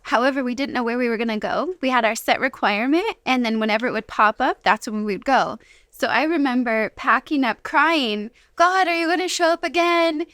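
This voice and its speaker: A woman's voice: sits high, fairly quick, fairly bright tone, no echo. An American woman telling personal stories casually as an interview guest. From Washington State, United States.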